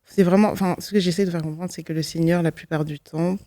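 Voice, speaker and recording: A woman's voice: on the low side, fairly even intonation, quick pace, dark tone, no room sound. A woman, giving a personal testimony in a reflective, hesitant way, often correcting herself. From Belgium. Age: 20s. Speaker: guest, law student giving testimony.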